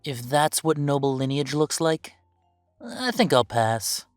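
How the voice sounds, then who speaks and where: Woman acting as estranged werewolf older brother, deep register, dry close sound, defiant, confrontational, audio roleplay. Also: unhurried, dry recording, soft background music. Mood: scornful. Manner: curt, defensive, confrontational. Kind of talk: scripted audio roleplay drama.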